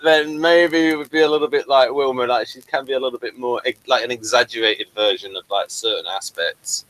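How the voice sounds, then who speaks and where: A man's voice: mid-range voice, fairly quick, fairly bright tone, low-quality recording. A man hosting a casual interview, rambling and chatty and addressing viewers as folks. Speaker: host and playwright.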